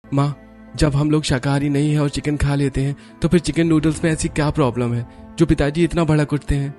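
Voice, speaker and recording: A man's voice: a little high, fairly even intonation, fairly quick, warm tone, soft background music, close to an everyday voice. A man voicing a caring, dutiful young son and husband in a cartoon story, earnest and reassuring. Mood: puzzled. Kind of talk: Hindi cartoon story voice acting.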